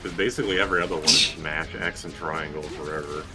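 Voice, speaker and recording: A man giving casual gameplay commentary in a rambling, halting, sweary way. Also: rumbling low, fairly even intonation. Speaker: video game streamer. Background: game music.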